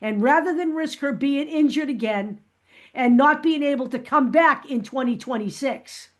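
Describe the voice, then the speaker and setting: A woman with a fairly high voice hosts a fan vlog. She talks casually and directly to viewers. She makes her points emphatically and often punctuates them with a questioning "okay?". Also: normal speed.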